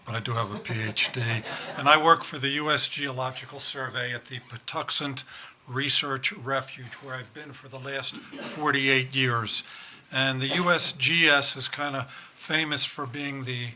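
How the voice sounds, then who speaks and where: An older scientist gives a conference talk with dry, self-deprecating humor. His voice is strikingly bright and sharp, at a middle pitch. There is a faint hiss behind him. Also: fairly even intonation, normal speed. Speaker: government research scientist.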